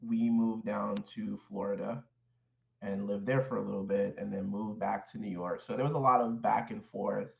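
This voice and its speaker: A man born in Haiti speaks in a low, gravelly, rather flat voice, reflecting slowly on his childhood and pausing often with fillers. The sound is dull and echoey, as if captured on a remote call in a bare room. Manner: measured, thoughtful, with many fillers and restarts. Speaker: guest, clinical social worker. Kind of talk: reflective personal storytelling.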